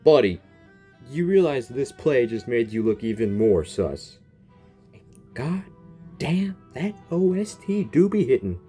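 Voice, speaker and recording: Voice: mid-range voice, very animated, measured pace, mellow. A gamer giving casual, playful and slangy reaction commentary over a game's story. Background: game music.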